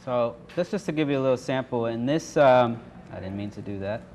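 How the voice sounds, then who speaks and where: A man's voice: mid-range voice, animated, a little fast, darkish tone. A man giving an informal workshop presentation as an activist speaker in a live room. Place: indoor workshop room with an audience. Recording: noisy live room recording.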